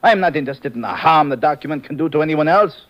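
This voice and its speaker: A smug, greedy broker with a German accent acted by a man in vintage radio style. His slightly high voice moves animatedly up and down. He is suave, formal and sly. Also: animated, quick pace, highs cut off, natural voice. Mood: impatient. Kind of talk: 1950s radio drama acting.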